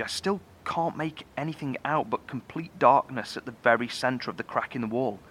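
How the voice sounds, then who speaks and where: Guy acting thoughtful brooding researcher in horror audio drama, careful exact delivery, medium voice, faint rumbly noise behind. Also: pushed and bright, lightly acted. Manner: measured, deliberate, precise, brooding. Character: thoughtful, curious male researcher in a survivors' bunker.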